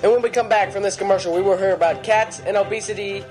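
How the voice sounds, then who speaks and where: A young man playing a co-anchor in an amateur mock newscast, reading quickly and sometimes stumbling over words. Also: sits high, fairly even intonation, music in the background.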